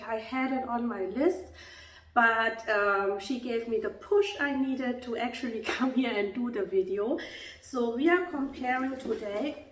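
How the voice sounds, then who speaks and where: A woman vlogger with a husky voice, chatting casually and hesitantly with many ums as she compares two handbags. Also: on the high side. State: husky from a lingering illness. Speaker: beauty vlogger. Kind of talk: casual bag comparison vlog. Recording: roomy sound from a distance.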